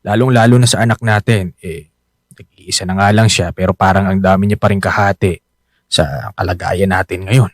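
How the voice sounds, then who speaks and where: Weary working-class Manila husband, 40s, Filipino accent; apologetic, self-blaming, lowish pitch, radio drama. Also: male voice, steady tone, normal speed, dry recording, clean studio sound. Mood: ashamed, downcast. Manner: apologetic, downcast, earnest. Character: weary, self-blaming 45-year-old poor tricycle driver, loving husband.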